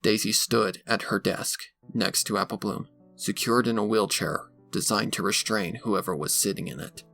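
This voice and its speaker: A man's voice: on the low side, fairly even intonation, normal speed, creaky, hardly any pauses, fairly bright tone, dry recording. A man reading scripted fan fiction as a dramatic narrator. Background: background music.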